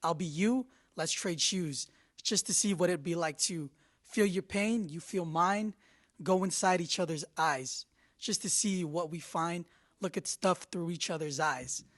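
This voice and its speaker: Male reader, lightly raised pitch, dramatic verse recitation. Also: measured pace, a bit bright. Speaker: news reporter reading lyrics. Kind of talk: dramatic spoken reading.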